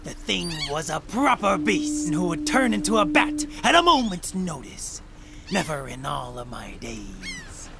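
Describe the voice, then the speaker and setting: An actor playing a frightened, excitable, indignant man in a radio drama, speaking with agitated emphasis. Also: on the high side, measured pace, vocal fry, heavily acted. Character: frightened, accusing male crew survivor, excitable and indignant. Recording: loud music under the voice.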